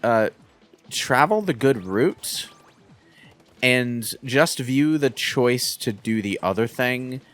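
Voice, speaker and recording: A man giving casual game commentary in conversation, with halting delivery and repeated starts. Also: medium pitch, expressive, a bit bright, faint music behind.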